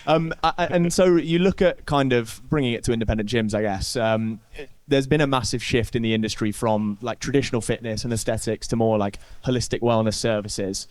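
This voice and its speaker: A man's voice: medium pitch, brisk, few pauses, darkish tone, no room sound. Man asking hesitant questions, dry sound. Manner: hesitant questions with many fillers. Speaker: podcast host. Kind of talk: conversational podcast interview.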